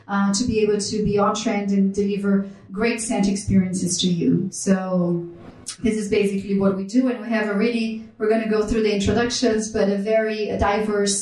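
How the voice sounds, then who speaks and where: A middle-aged woman from Brazil with a Brazilian accent, speaking as a panelist in a live talk, thoughtful with frequent pauses and fillers. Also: medium pitch, darkish tone. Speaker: panelist, general manager. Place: live event space. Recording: echoey room sound.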